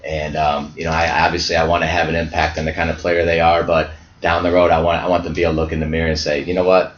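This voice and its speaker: A man who coaches high school basketball, from Ohio, speaking earnestly as an interview guest and often restarting his words. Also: monotone, rapid-fire. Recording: echoey dull lo-fi audio.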